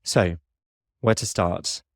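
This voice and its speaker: A young adult man with a southern English accent, an English teacher hosting a learner podcast in a clear, measured, explanatory way. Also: deep voice, no room sound, clean studio sound. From England. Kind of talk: scripted podcast narration for learners.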